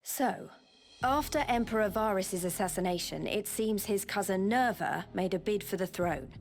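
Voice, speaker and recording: A frank, easygoing woman soldier from a fantasy game played by a woman, with an ordinary mid-range pitch. She talks casually and wryly, like a messenger among old friends, with music playing faintly behind her. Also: moderate pace, lightly acted. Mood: briefing. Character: friendly, informal woman soldier bringing news from an alliance. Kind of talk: video game cutscene voice acting.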